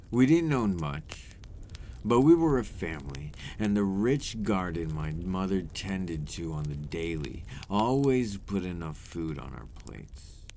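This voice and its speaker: A brooding, remorseful vampire captor played by a man speaks close to the mic in a very low voice. He tells his story slowly and formally, like a weary confession in an ASMR roleplay. A noticeable low rumble sits behind him. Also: warm tone, exaggerated voice. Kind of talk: intimate ASMR roleplay monologue.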